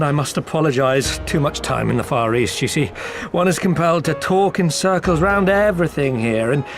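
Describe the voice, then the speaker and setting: Man playing a florid, smooth-talking merchant, highly animated. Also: natural voice. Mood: playful, teasing. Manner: florid, circuitous, unctuously polite. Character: smooth-talking, theatrically courteous male merchant and company agent. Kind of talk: scripted video game character dialogue.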